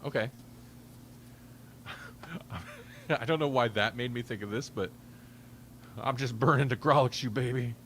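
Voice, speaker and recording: Casting: male podcast host, mid-pitched and crisp-edged bright tone, laid-back joker, slight hum on the recording. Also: unhurried, pauses often. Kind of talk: casual chat.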